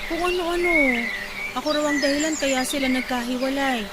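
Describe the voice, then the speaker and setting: A woman's voice: high-pitched, fairly quick, heavy background noise, natural voice. A woman playing an anguished, hesitant older sister torn by love and guilt in a Tagalog radio drama. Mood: troubled, guilty. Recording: loud music under the voice.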